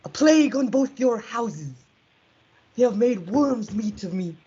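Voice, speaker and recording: A taunting, theatrical swordsman played by a woman in a stage play. The speech is slow and swoops widely, very husky, on a muffled recording. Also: a little high, animated, mellow, full character voice. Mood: wounded, bitter. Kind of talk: student readers theatre performance.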